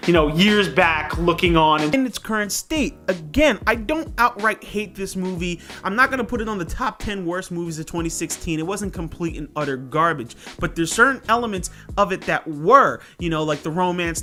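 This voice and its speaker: A man's voice: high-pitched, sharp tone. A man hosting a casual, opinionated review, talking straight to viewers in a conversational, emphatic way. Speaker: film reviewer and host.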